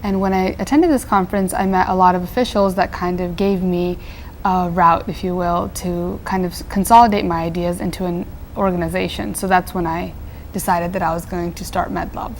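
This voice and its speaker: A woman's voice: lowish voice, fairly even intonation, fairly quick, a little hiss or hum. A teenage girl from Nepal, a nonprofit founder answering interview questions earnestly, with frequent fillers and restarts. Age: teens.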